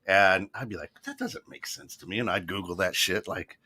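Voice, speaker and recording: A man's voice: low voice, normal speed, a loud crowd. A man co-hosting a live internet radio talk show, joking and laughing often, with frequent trailing, unfinished phrases. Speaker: co-host. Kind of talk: casual chat.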